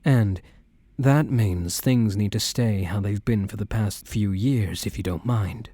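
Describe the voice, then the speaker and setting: A man playing a protective young mafia boss boyfriend in an ASMR roleplay, speaking gently and intimately in a measured, guarded way. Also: on the low side, no echo. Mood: earnest, regretful. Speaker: roleplay voice performer.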